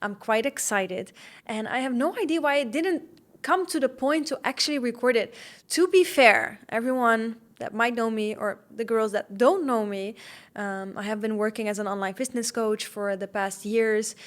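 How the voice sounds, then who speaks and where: A woman's voice: a little high, normal speed. A young woman with a slight Dutch accent, hosting her first podcast episode as a candid, slightly nervous solo talk with fillers. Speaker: podcast host.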